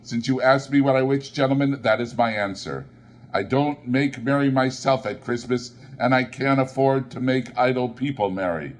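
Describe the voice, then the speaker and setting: A stingy, bitter old man who bites off every word with clipped scorn, as a male reader puts him on. His voice rises higher and louder than the reader's own and pushes out fast and sharp. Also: natural voice. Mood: scornful.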